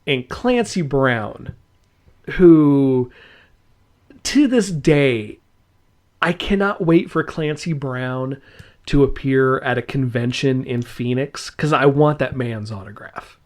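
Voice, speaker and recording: Lively, slow-talking man chatting casually. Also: medium pitch. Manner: enthusiastic, rambling, laughs often. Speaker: podcast host. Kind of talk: casual podcast chat.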